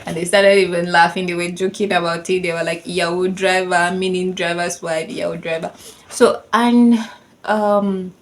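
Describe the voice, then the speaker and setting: Chatty Nigerian woman, low voice. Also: little pitch movement, normal speed. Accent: Nigerian English. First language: Yoruba. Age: 30s. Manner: chatty and conversational, lots of "like", laughing while she tells it. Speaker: vlogger telling a personal story. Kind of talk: casual storytime narration.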